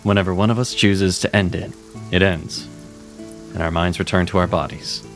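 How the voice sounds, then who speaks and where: A man playing a gentle, earnest mage mentor in a fantasy audio drama, speaking in a measured, reassuring way. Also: low voice, fairly even intonation, natural voice. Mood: soothing. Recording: music and rain behind the voice. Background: music, rain.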